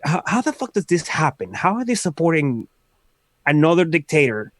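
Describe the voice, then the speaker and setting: A man appearing as a musician guest on a podcast, talking frankly in an animated, casual way and swearing freely. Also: fairly high pitch, moderate pace, no echo.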